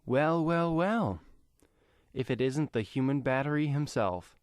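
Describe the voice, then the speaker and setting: A man's voice: medium pitch, animated, measured pace, no room sound, pitched above the performer's own voice, natural voice. A man voicing a superhero's mocking, irritated brother in an audio comic story. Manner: mocking, exasperated.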